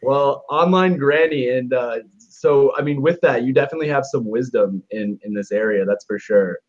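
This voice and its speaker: A man's voice: medium pitch, normal speed, darkish tone. A man hosting a live online interview from a video call, with audio that comes through dull and a little muffled. He speaks in an easygoing way and sprinkles his questions with "uh" while he gathers his thoughts. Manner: easygoing, hesitant "uh" fillers, frequent backchannel. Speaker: host.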